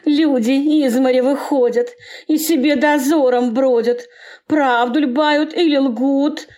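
Slow, high-voiced sly old matchmaker. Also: female voice, steady tone, darkish tone. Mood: mocking. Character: sly, sneering old matchmaker woman from a fairy tale. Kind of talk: theatrical Russian fairy-tale verse recital.

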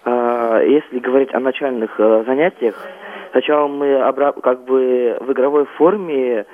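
A teenage boy phoning in as an interview guest, answering in a halting, reserved way over a telephone. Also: medium pitch, steady tone. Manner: halting, reserved, often says "like". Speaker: young amateur playwright, phone-in guest. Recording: muffled, band-limited phone line.

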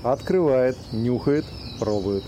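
A man's voice: mid-range voice, lively intonation, slow, noisy background. A man narrating an audio play, reading scripted stage directions.

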